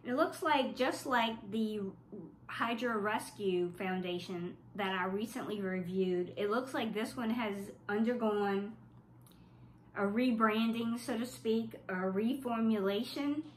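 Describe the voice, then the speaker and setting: A woman's voice: fairly high pitch, unhurried, reverberant, slight background noise, poor audio. A woman from South Louisiana with a stuffy, congested voice, giving a casual makeup review with a wry, skeptical manner. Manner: wry, skeptical, reading product claims aloud. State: stuffy from flu. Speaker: beauty vlogger.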